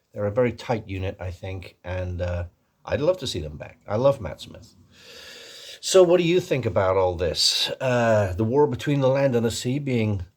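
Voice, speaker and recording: A man putting on an American accent as a rambling, self-deprecating video presenter with a husky voice, in a casual vlog style. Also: fairly low pitch. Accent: put-on American. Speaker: video host and actor.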